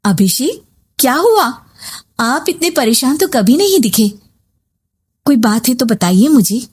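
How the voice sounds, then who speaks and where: A woman's voice: high voice, very animated, a little slow, a little mellow, husky, full character voice. A woman voicing a dutiful, worried young married woman in a story, earnest and respectful. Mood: concerned. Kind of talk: story voice acting.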